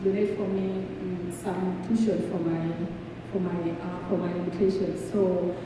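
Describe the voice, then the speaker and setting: A woman from Uganda with a Ugandan accent, giving a halting, informal eulogy in a church hall. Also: lowish voice, level tone. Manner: halting, searching for words. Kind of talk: eulogy, informal personal tribute. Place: church hall, speaking to a gathering. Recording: echoey hall from far off, noisy.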